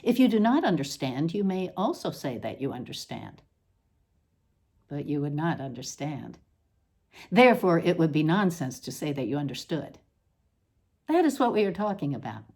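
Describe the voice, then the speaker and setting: A woman reading a scripted literary essay aloud, with measured, deliberate phrasing and pointed pauses. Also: on the low side, very animated, a little mellow. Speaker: reader.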